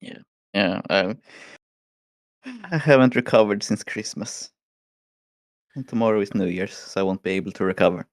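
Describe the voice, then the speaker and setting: Man, hoarse, very deep voice, casual grumbling chat, dry sound. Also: animated, normal speed, pauses often, no echo. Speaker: guest.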